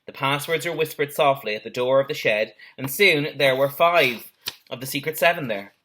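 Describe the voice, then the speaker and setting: A man's voice: mid-range voice, moderate pace, a bit bright, slight background noise. A man, a teacher, reading a children's story aloud expressively with brief asides.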